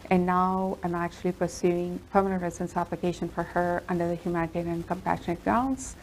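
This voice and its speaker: Female lawyer guest, Telugu native, low voice, steady earnest telling. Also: fast, a little hiss or hum, low-quality recording. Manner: earnest, steady storytelling with fillers. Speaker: immigration lawyer. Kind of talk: interview answers.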